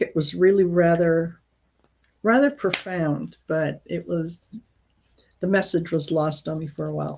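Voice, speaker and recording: Slow, gravelly woman chatting on a live stream. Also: fairly low pitch, darkish tone, muffled recording. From Croatia. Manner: rambling, halting, many fillers and restarts. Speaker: tarot reader hosting a live stream. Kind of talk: casual live-stream chat with viewers.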